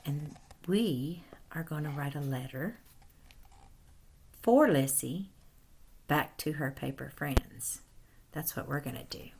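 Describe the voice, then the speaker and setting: A woman teacher reading a storybook aloud to young children in a deliberate, instructive way with animated emphasis. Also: deep voice, creaky, slight background noise. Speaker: first grade teacher.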